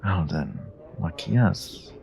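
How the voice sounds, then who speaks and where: A man playing a flirty, charming stranger in an intimate romantic audio roleplay, with teasing, drawn-out, murmured lines. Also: low voice, huge pitch swings, vocal fry, darkish tone, full character voice. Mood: flirty, eager.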